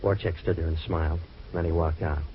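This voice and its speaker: Dull noisy old radio recording, sardonic American detective, fast patter, deadpan, wisecracking. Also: male voice, low voice. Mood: weary, sardonic. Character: hardboiled, wisecracking male private eye in trouble. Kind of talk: old-time radio detective drama acting and narration.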